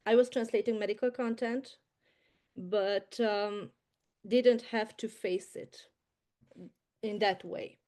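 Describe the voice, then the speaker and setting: A woman with a Hungarian accent, a medical translator speaking as a guest on a video call, softly and with many hesitations. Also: medium pitch, fairly even intonation, takes it slowly, long pauses. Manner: soft-spoken, hesitant, many fillers.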